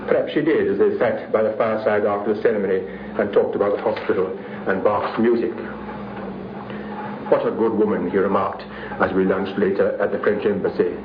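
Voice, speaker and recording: An older man giving a prepared personal reminiscence. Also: fairly low pitch. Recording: echoey, noisy, phone-like 1950s radio recording.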